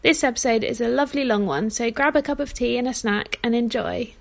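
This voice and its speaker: A woman hosts a podcast with a slightly high voice. She reads her introduction in an upbeat way, then asks friendly questions in casual conversation. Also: brisk, gravelly, soft background music. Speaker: podcast host. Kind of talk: scripted intro and casual interviewing.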